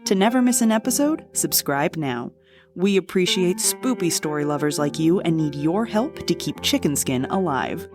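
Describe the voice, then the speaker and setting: A woman reading scripted podcast credits and an outro as the show's announcer. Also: sits low. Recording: guitar music behind the voice.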